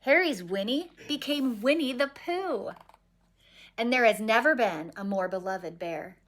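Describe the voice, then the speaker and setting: A woman reading a children's picture book aloud expressively, with dramatic pauses. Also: on the high side, expressive, fairly bright tone. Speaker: story reader.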